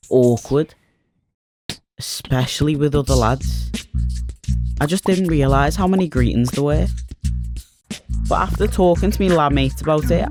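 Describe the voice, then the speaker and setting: A man in his twenties from Liverpool with a Liverpool accent, narrating a short animated film in a chatty, wry, self-mocking way. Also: a little high. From Liverpool, England. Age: 20s.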